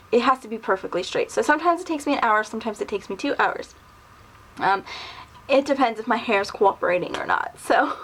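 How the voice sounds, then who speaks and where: Chatty young woman, slightly high voice. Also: fairly bright tone. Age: 20s. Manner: rambling, chatty, lots of ums and little laughs. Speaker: vlogger. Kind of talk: casual tag video answering questions.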